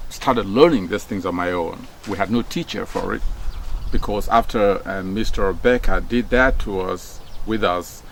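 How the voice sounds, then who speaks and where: A middle-aged Nigerian man with a low, gravelly voice speaks in an easygoing, reflective way. He fills his pauses with "um" and "you know" and lifts his voice when he drives a point home. Faint background noise with insects sits behind him. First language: Nigerian Pidgin. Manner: unhurried, many fillers, rises when stressing a point. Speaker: speaker, former broadcaster. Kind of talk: casual reflective talk.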